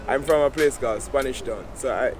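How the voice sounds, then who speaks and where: A Jamaican man in his thirties, a guest sharing his life story in a casual interview, animated and laughing often. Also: medium pitch, a little fast, loud music behind the voice.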